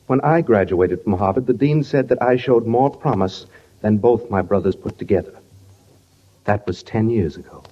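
An actor playing an educated, self-pitying sound-effects man with a deadpan, aggrieved manner in 1940s radio comedy. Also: fairly low pitch, moderate pace, husky. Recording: muffled old radio recording, faint noise.

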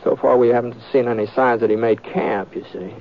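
An actor playing an easygoing, seasoned western lawman and tracker in an old radio drama, with a hesitant, folksy, unhurried way of talking. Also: mid-range voice, fairly even intonation, lightly acted. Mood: relaxed, folksy. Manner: hesitant, folksy drawling delivery with murmured asides. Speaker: lead actor and narrator of the story. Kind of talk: 1940s-50s radio western acting and narration. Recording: noisy, muffled old radio recording with clicks.

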